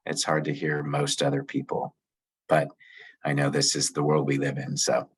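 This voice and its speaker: Brief: man on video call, deep flat husky voice, easygoing. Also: normal speed. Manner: relaxed, easygoing, candid. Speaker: board member joining remotely. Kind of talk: casual remarks over a video call.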